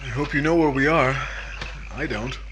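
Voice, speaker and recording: An actor playing a weary, hesitant married man in a 1950s-style radio drama, speaking in halting short phrases. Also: medium pitch, background noise, natural voice. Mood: uneasy, compliant.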